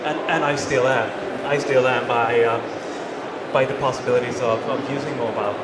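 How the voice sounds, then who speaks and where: Swedish man in his thirties, brisk tech seminar speaker, roomy exhibition hall with heavy background noise. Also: mid-range voice, steady tone. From northern Sweden. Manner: quick, repeats words as he restarts. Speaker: conference speaker. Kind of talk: live seminar presentation.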